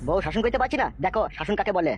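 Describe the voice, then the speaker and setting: A man acts out an indignant young village son in a comic cartoon. His voice is very high and races along, argumentative and crude. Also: animated, racing. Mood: angry, bossy.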